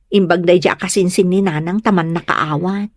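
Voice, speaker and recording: A woman playing an earnest, tender young bride-to-be who pleads gently in an Ilocano comedy drama. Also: on the low side, fairly even intonation, normal speed, dry recording, studio quality, lightly acted. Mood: grateful, wistful.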